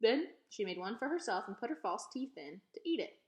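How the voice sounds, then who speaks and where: A woman reading a children's novel aloud in an expressive storytelling style. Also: a little high, fairly quick. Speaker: read-aloud reader. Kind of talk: expressive children's book narration. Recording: echoey, roomy sound.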